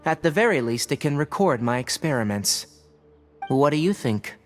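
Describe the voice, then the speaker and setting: An actor playing a dry, sardonic but principled forest watcher and scholar in a video game, speaking precisely. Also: male voice, fairly high pitch, very animated, normal speed, faint music behind. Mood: measured, wry. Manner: precise, wry, scholarly delivery. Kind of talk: scripted video game voice acting.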